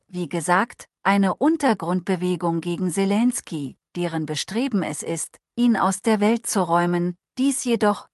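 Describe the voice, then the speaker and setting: An outspoken, blunt Swiss man, read by a woman whose native tongue is Swiss German, holding forth in long formal sentences. The delivery stays level in pitch and earnest throughout. It is recorded close and dry, with no room sound. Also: a little mellow, no room sound, lightly acted. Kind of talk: reading a transcribed conversation aloud.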